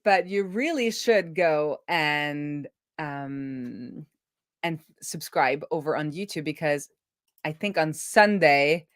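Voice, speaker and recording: A woman's voice: low voice, lively intonation, takes it slowly, dry recording. Casual woman livestreamer, close dry sound. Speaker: livestream host.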